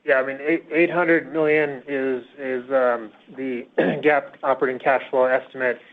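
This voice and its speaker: A man speaking as a finance executive, answering questions on an earnings call in a measured, hesitant way with pauses. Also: medium pitch, fairly even intonation. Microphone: phone conference call. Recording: tinny, narrowband conference phone line.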